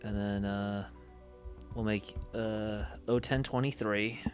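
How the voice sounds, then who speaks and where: Slow-paced man thinking aloud on stream. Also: fairly low pitch, fairly even intonation, soft background music. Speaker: livestreamer. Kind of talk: casual livestream chat, thinking aloud.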